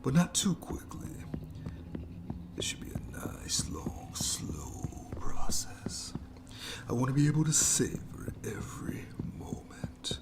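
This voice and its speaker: A menacing monster, played by a man, whispers slowly in horror ASMR style, with a creaky edge to the voice. It taunts with mock courtesy, playful and sadistic, drawing out its words. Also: fairly high pitch, sing-song, exaggerated voice. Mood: taunting, savoring.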